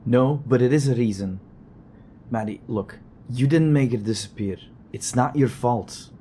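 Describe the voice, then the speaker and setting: Audio drama: actor as dependable male friend and driver, warm dark tone, light vehicle noise. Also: mid-range voice, measured pace, natural voice. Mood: gentle, reassuring. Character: steady, reassuring male friend driving a car.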